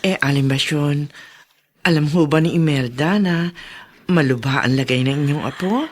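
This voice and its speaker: A woman's voice: low-pitched, normal speed. A woman playing a frank, concerned Filipino neighbour in a radio drama, respectful and emotive as she asks after others. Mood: worried.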